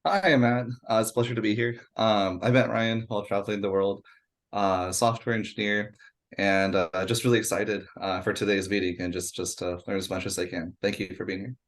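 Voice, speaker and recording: Polite, halting man with low voice. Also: steady tone. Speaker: guest, software engineer. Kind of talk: self-introduction.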